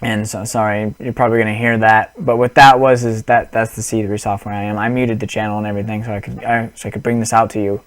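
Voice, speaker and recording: A man's voice: lowish voice, level tone, quick pace, hardly any pauses, no room sound. A young man on a headset mic, rambling through a casual gaming vlog with lots of restarts and apologies. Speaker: gaming vlogger.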